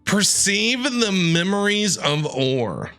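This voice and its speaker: A man's voice: sits high, sing-song, slow pace, bright, forceful tone, faint music behind. A man giving casual, wry commentary as he plays a video game, with joking asides. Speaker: streamer reacting to a video game.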